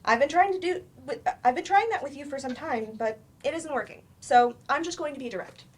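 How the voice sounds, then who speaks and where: High, lively, blunt female therapist played by an actress. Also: fairly quick. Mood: firm, direct. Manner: direct, probing, dry. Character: blunt, probing female therapist, patient but firm. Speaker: actor in a staged reading. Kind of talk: staged play reading, naturalistic dialogue. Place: staged reading at a library.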